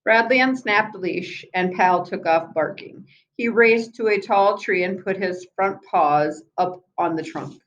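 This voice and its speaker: Slow-paced woman reading a children's story aloud. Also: medium pitch, muffled recording. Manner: expressive, acts out the children's dialogue. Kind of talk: children's book read-aloud with asides.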